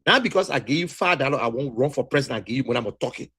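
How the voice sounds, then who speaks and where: A man's voice: mid-range voice, animated, racing, pushed and bright, slightly rough. A man from Liberia with a Liberian English accent gives an impassioned political talk, emphatic and repetitive, with a preacher-like cadence.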